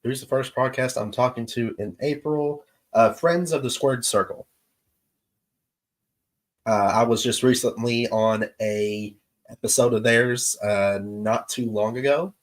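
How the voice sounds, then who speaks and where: A man hosting his show's live stream, chatting casually with viewers in an easygoing, halting way, his voice stuffed up from allergies. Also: mid-range voice. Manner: easygoing, halting with many pauses. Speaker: show host. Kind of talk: casual livestream chat with viewers.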